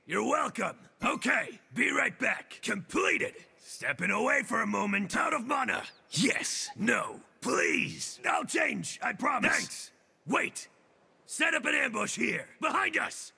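A man voicing a big, boastful mutant turtle brawler for a video game, gravelly and forceful, with punchy, taunting delivery. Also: very high-pitched, big pitch swings, a little slow, heavily acted. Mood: urgent, punchy. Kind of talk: video game character voice lines.

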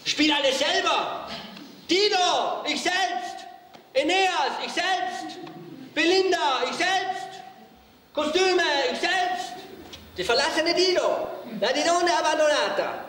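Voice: very high voice, animated, takes it slowly, fairly bright tone. A performer speaking in a theatrical comic monologue style. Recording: very echoey, distant, noisy hall playback.

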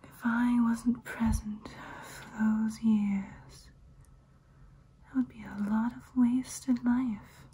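A young woman voicing a soothing, hypnotic ancient female vampire in a breathy ASMR whisper, close to the mic. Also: on the high side, little pitch movement, mellow, exaggerated voice. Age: young adult. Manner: slow, soothing, hypnotic, repetitive. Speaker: ASMR performer. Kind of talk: ASMR personal-attention roleplay. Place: small room indoors.

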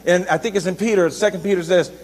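A middle-aged man preaching a sermon as pastor, animated and shifting between loud and soft delivery, on a handheld mic in a large church. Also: high voice, quick pace. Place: large church sanctuary, indoors. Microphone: handheld microphone. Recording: long church reverb.

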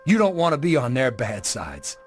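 A man's voice: medium pitch, wildly swooping pitch, moderate pace, soft background music. A man voicing a cocky young gang member in a video game, boastful and bullying with a sneering swagger. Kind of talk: video game dialogue acting.

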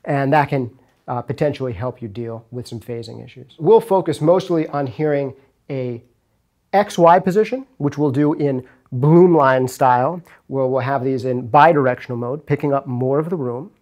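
A man's voice: mid-range voice, normal speed, darkish tone. A man in his thirties presenting an instructional video to camera in a casual, explanatory way. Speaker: audio engineering video host and instructor. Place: recording studio rooms, indoors.

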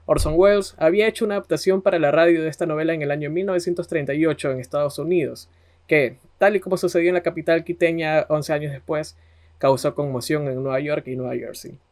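A man's voice: a little high, fast, darkish tone. A man with an Ecuadorian accent hosting a podcast, narrating history in a conversational style. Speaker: podcast host.

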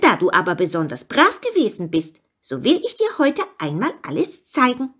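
A woman's voice: medium pitch, huge pitch swings, moderate pace, fairly bright tone, breathy edge, exaggerated voice. A woman voicing a friendly male Easter rabbit guide from a storybook, explaining proudly and emphatically. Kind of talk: storybook character reading.

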